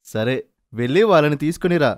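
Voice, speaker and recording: Woman playing firm, encouraging low-voiced cartoon dad. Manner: instructing, reassuring, firm. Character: encouraging but firm father in a family cartoon. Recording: music loudly behind the voice.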